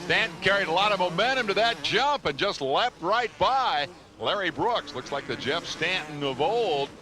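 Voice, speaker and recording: A man's voice: sits high, animated, normal speed, a crowd in the background. A man calling motocross play-by-play as lead commentator, in punchy, dramatic phrasing. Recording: engine and outdoor noise behind voice. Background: motocross race noise.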